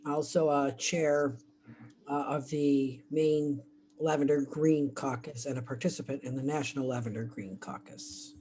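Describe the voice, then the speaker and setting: A man's voice: fairly high pitch, fairly even intonation, a little slow, darkish tone, slight background noise. A man plainly introducing himself as a party co-chair on a video call.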